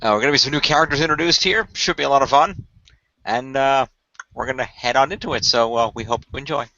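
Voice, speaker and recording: A man's voice: mid-range voice, quick pace, pushed and bright, no room sound. A man hosting and narrating an amateur radio play in an informal podcast style. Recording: dry, dull lo-fi voice track.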